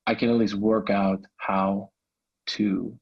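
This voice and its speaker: A man's voice: on the low side, flat delivery, slow pace, slightly rough, dull audio. A man speaking casually and candidly, a little self-effacing, as a salesman on a recorded call. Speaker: salesman, prospective coaching client. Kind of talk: casual, candid phone conversation.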